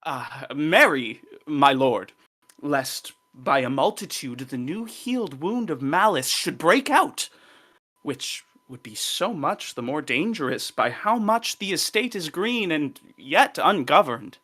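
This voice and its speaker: A woman playing a scheming courtly male duke in a Shakespearean radio play, with measured oratorical delivery. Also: deep voice, big pitch swings, a bit bright, exaggerated voice. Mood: cautioning. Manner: measured, oratorical verse speech.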